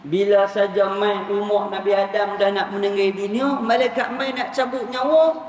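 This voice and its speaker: High-voiced Malaysian preacher in an echoey hall. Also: male voice, fairly even intonation, moderate pace. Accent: northern Malaysian Malay. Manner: colloquial storytelling, acting out dialogue, repeating phrases for emphasis. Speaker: Islamic preacher. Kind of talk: sermon retelling religious stories to an audience. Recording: echoey hall, distant mic, faint noise.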